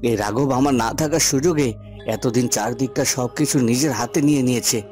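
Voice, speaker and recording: Man playing a resolute, measured cartoon prince. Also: medium pitch, a little mellow, soft background music, close to an everyday voice. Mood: stern determination. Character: resolute, patient young prince plotting against a usurper. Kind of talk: Bengali fairy-tale cartoon dubbing.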